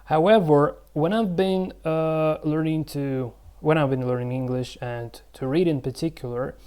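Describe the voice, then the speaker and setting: A man's voice: on the high side, measured pace, dark tone, clear, resonant tone. A young man, a native Russian speaker with a Russian accent, explains his language-learning method in unscripted talk, with many fillers and restarts.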